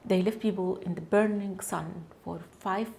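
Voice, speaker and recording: A woman whose first language is Arabic, speaking English as an interview guest in an earnest, halting way with frequent restarts. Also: medium pitch, steady tone, creaky, darkish tone, a little hiss or hum. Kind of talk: conversational interview.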